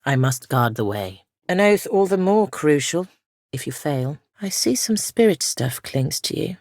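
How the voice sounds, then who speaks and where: A woman playing a solemn, ancient female spirit guardian in a fantasy game, speaking softly and formally with measured gravity. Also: deep voice, big pitch swings, dry recording, studio quality, exaggerated voice. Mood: grave, foreboding. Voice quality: soft, gravelly. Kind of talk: scripted fantasy game dialogue.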